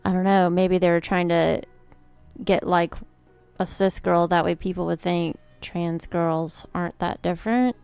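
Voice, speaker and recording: A woman's voice: lowish voice, fairly even intonation, unhurried, vocal fry, a little mellow, clear, resonant tone, soft background music. A woman co-hosting a podcast in casual chat, easygoing and hesitant, often hedging her views. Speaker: podcast co-host.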